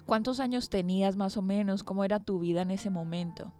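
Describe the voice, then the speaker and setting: A woman's voice: mid-range voice, a little fast, warm tone, faint music behind. A woman hosting a radio interview program, speaking as presenter and interviewer. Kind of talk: interview with scripted introduction.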